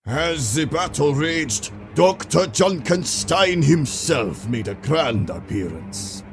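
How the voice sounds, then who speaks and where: A man's voice: on the high side, big pitch swings, slow, soft background music, exaggerated voice. A man acting as a grave, theatrical storyteller narrating a gothic horror tale. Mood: ominous, dramatic. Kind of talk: dramatic scripted narration.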